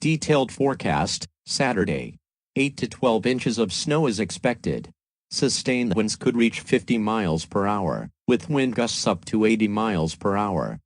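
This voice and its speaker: Low, dry man as forecast announcer. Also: big pitch swings, a little slow, dry recording, heavily acted. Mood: formal, matter-of-fact. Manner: formal, matter-of-fact. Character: weather service announcer reading detailed storm forecasts. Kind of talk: scripted forecast reading.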